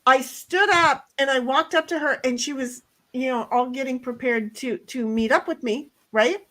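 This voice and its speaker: Loud, blunt middle-aged woman storyteller. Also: high voice, moderate pace. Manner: animated, blunt storyteller with bursts of loud laughter and swearing. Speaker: co-host. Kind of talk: casual storytelling chat.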